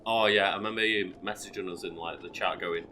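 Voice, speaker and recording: Gravelly man with a sore throat. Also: fast, slight background noise. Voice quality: low, gravelly voice. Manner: laughs often, relaxed guide. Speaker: co-host guiding the game. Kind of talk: casual gaming chat.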